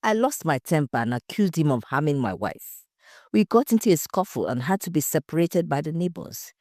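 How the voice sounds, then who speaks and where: A woman's voice: on the low side, dark tone, no echo, studio quality. A woman voicing an anxious, indignant husband accused of murder in an audio story, emphatic and defensive. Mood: angry, scornful.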